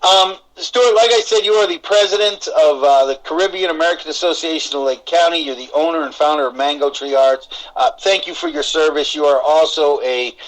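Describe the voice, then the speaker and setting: A man's voice: a little high, brisk, few pauses, a bit bright, poor audio. A man hosting a local TV interview, asking friendly, rambling questions full of fillers. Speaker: TV show host.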